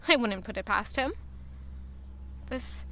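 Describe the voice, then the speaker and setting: A woman's voice: a little high, animated, takes it slowly, bright, forceful tone, full character voice. A woman acting a spirited, guarded young noblewoman and lady-in-waiting, in audio drama dialogue and narration. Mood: wary, pondering.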